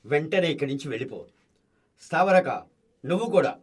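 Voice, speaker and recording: A man's voice: on the high side, expressive, fairly quick, breathy edge. A man playing an imperious, sly, murderous schemer in a radio drama, commanding and gloating.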